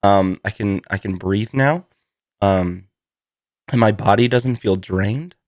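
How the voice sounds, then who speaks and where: A man hosting a solo podcast in a casual, rambling, self-deprecating way, slightly hoarse from a lingering cold. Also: fairly low pitch, slow pace, dry recording, studio quality. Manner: rambling, self-deprecating, laughs through his words. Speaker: podcast host.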